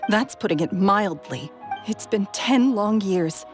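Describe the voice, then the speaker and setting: Guarded, slow-spoken woman. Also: mid-range voice, expressive, soft background music. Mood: wary. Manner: guarded, measured. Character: wary, weary adult woman guarding secrets. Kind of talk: anime game voice acting.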